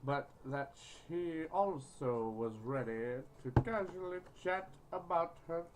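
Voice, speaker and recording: A woman with a very low voice gives crude, casual game commentary, swinging widely in pitch. She stumbles as she reads aloud and swears freely, with faint noise behind her. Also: expressive, slow pace. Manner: foul-mouthed, stumbling over reading. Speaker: gaming video host.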